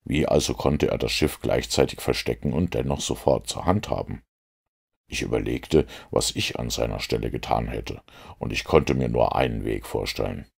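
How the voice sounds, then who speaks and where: A shrewd, methodical storybook detective acted out by a man reader speaks in an extremely low voice. It crackles with gravel as he lays out his reasoning deliberately, fully sure of himself. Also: full character voice. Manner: deliberate, explanatory.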